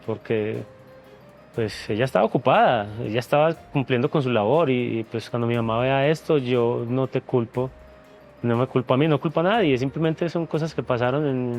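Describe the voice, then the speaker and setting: Guy in an interview, earnest, kinda halting, repeats himself, faint music under it. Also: medium pitch, darkish tone. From Venezuela, raised in Colombia. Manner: earnest and reflective, repeats words as he searches for them. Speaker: interview guest, former reality show contestant. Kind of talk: emotional sit-down interview.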